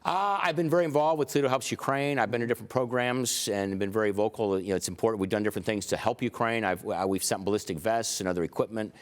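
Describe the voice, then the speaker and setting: Deep-voiced earnest sheriff, man in 60s. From United States. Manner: earnest, emphatic, many fillers. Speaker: county sheriff, guest. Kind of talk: TV interview answers.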